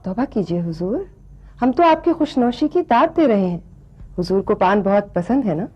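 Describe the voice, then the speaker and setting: Husky, honey-tongued courtesan mother. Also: mid-range voice, very animated, normal speed, warm tone. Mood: coaxing deference. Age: middle-aged. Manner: deferential, honeyed, elaborately polite. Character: courtly, flattering, sly courtesan mother quoting poetry. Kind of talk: screen drama acting in ornate, courtly speech. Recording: dull, lo-fi television film sound.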